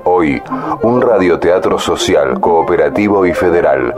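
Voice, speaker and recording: Male radio announcer, deep voice, scripted program introduction, music behind. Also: animated, a little slow.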